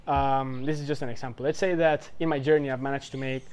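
A man's voice: mid-range voice, fairly even intonation, fast talker, a little mellow, smooth. Rambling man in his twenties. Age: 20s. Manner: rambling, loose, full of "like" and "right?". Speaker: vlogger giving life advice. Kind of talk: casual talk to camera.

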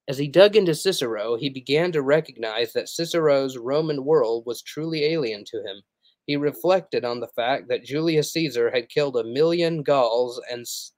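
A man in his thirties teaches over a livestream from a small room at home. He speaks earnestly in a middle-pitched voice, pausing with "uh" as he reads long quotes. The sound is dry and close, from a desk mic. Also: no room sound. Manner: earnest, explanatory, frequent "uh" fillers.

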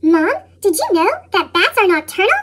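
Woman acting out eager little girl, storybook character, chipmunk effect, extremely high pitch, animated swoops. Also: normal speed. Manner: eager, chattering. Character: excitable little girl who loves bat facts.

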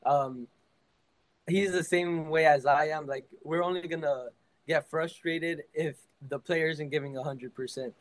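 A teenage high school soccer player answering interview questions over a video call, relaxed and full of "like" and "I mean". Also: male voice, measured pace, poor audio. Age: teens.

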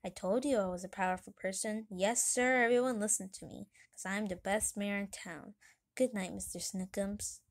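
A woman's voice: medium pitch, steady tone, moderate pace, lightly acted. A woman voicing a vain, irritable, bossy town mayor who barks orders indignantly in a children's comic story. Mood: smug. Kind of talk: children's comic read aloud.

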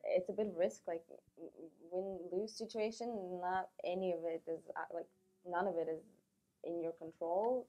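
A woman's voice: on the low side, fairly even intonation, normal speed, dark tone, muffled recording. A young woman speaking in a matter-of-fact way with some restarts, as a student in a documentary interview. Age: young adult.

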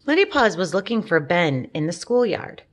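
A woman reading a children's picture book aloud, gently, with asides and questions to young listeners. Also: on the low side, big pitch swings, moderate pace. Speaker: storybook reader.

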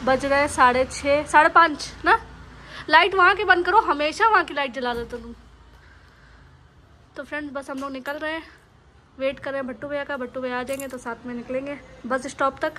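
A woman's voice: sits high, a little fast, pushed and bright, slight background noise. A woman from Bangalore, India, narrating her day in a chatty way and talking straight to her viewers as friends. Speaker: vlogger. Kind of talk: casual vlog narration.

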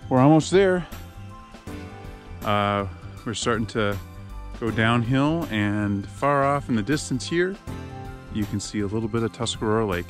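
A man's voice: on the low side, lively intonation, unhurried, faint music behind. A young man chatting casually as he films himself ice fishing and skiing, narrating as he goes, in an upbeat, chatty way. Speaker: outfitter vlogger. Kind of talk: casual outdoor vlog. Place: ice fishing shelter, then outdoors on a snowy trail. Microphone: action camera held close or body-mounted.

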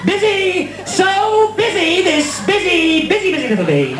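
A cheeky old pantomime mother played by a man, high-pitched and swooping about in exasperation. The delivery is broad and brash, on a noisy, echoey stage recording. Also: sing-song, measured pace, distant mic, heavily acted. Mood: theatrical. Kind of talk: amateur pantomime comedy double act. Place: stage in a hall, handheld microphone, audience ambience.

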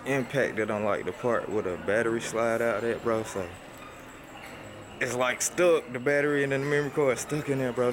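A young man vlogging to a handheld camera in his car and at a mall, talking loosely and calling the viewers bro. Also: fairly low pitch. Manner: loose slangy talk, calls viewers bro. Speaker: sneaker vlogger. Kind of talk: casual vlog talk to camera. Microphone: handheld camera at arm's length. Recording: loud background noise.

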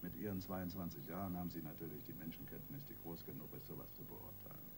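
A man's voice: a bass voice, fast talker, background noise. A man playing a domineering senior police detective in a radio crime drama, mocking, condescending and emphatic. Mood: sarcastic, dismissive.

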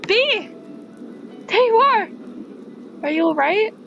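A woman's voice: extremely high pitch, animated, takes it slowly, gravelly, a bit bright, dull audio, soft background music, pitched above the performer's own voice, full character voice. A woman voicing an anxious, defensive young female cat in a video game, pleading and worried. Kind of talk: reading game dialogue aloud in character.

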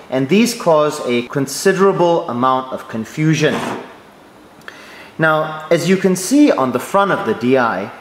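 A man giving a step-by-step tutorial on church sound equipment, explaining clearly and methodically. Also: mid-range voice. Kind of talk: instructional voice-over. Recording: echoey room, faint noise.

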